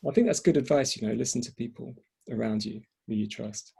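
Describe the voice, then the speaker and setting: A man's voice: mid-range voice, a little fast, gravelly, mellow, slightly rough. A man hosting a panel discussion in spontaneous talk, hesitantly repeating and rephrasing as he goes. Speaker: event host and moderator.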